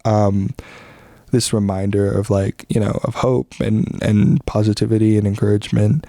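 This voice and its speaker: Young man with a low, dry voice. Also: moderate pace, creaky, no echo. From Philippines. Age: young adult. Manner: relaxed, rambling, heavy with fillers and slang. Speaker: podcast host. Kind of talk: casual reflective monologue.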